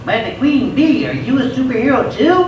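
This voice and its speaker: An actor playing an eager, naive, excitable bee-themed male superhero in a live radio play. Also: extremely high pitch, brisk, highs cut off. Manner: excitable, earnest. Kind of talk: live radio theatre acting. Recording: very echoey hall, distant mic, faint noise.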